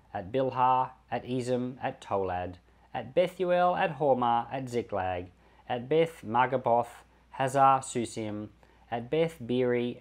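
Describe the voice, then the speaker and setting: Man reading scripture with lively intonation. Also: mid-range voice. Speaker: pastor reading scripture on a podcast. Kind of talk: measured scripture reading aloud.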